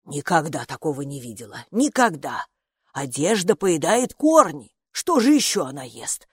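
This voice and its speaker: A woman's voice: deep voice, big pitch swings, moderate pace, husky, no room sound, clean studio sound, exaggerated voice. A woman voicing a fretful, doting female gatekeeper creature from underground in a children's audiobook. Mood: alarmed, puzzled. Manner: fretful, doting, repeats words in lament. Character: anxious, motherly green-skinned female underground gatekeeper creature.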